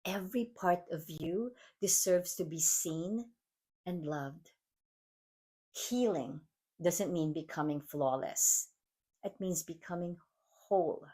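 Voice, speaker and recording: Woman, Filipino accent, podcast host, husky, lowish voice, earnest measured monologue. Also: mellow. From Philippines. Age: adult mom. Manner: earnest, measured, reflective delivery. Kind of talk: scripted motivational monologue.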